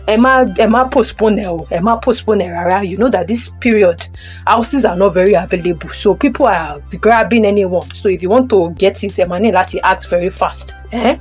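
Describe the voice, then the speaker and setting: A woman's voice: medium pitch, a little fast, faint music behind, lightly acted. A woman plays a Nigerian housing agent, a businesslike man in an audio drama. He is pushy and persuasive, starting sentences with small hesitations. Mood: persuasive, pressing. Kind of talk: audio drama acting, Yoruba-English phone conversation.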